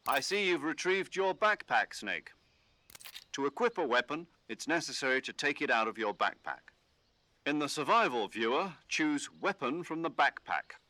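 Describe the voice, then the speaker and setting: A man voicing a calm commanding officer who gives instructions over the radio in a game. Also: fairly high pitch, no room sound. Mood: steady. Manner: measured, instructive briefing tone. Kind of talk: game dialogue.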